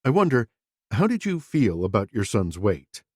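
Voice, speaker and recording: A man voicing a calm interviewer who asks flat, measured, terse questions. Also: medium pitch, slightly rough, no echo, clean studio sound. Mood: cool, probing.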